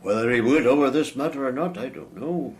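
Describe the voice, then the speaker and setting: A man's voice: mid-range voice, fairly quick, natural voice. An actor playing an elderly, genial Victorian clergyman in a radio drama, courteous and considered with old-fashioned phrasing.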